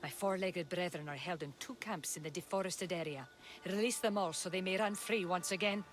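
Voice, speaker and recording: A woman's voice: fairly low pitch, fairly quick, soft background music, close to an everyday voice. A woman playing a solemn, noble guardian of wild beasts in a video game, speaking formally. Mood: solemn, grateful. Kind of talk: dramatic video game acting.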